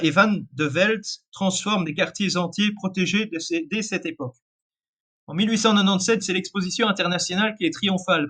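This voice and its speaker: A man's voice: high-pitched, bright, forceful tone. A man reading an alternate-history narration, often stumbling and correcting himself. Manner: reads with frequent restarts and self-corrections.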